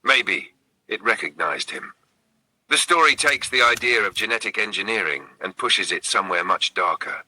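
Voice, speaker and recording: Male horror narrator: raspy, very forceful tone, suspenseful delivery in short, dramatic phrases. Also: fairly low pitch, no room sound, low-quality recording. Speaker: narrator of a horror storytelling channel. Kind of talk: scripted narration, spooky campfire storytelling.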